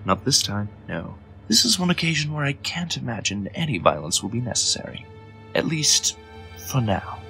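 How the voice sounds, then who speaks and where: A man voicing a coolly scheming, commanding unicorn lord in an audio drama, speaking in a measured, imperious and formal way with a husky voice. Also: medium pitch, big pitch swings, sharp tone, soft background music. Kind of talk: audio drama character acting.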